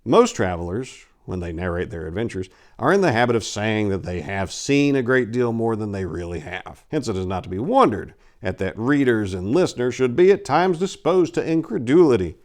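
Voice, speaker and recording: A man's voice: on the low side, expressive, normal speed, few pauses, darkish tone, natural voice. A man voicing a boastful, gallant aristocratic adventurer, telling tall tales in a grand, theatrical storybook manner. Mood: grand, earnest. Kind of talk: dramatic reading of an old storybook.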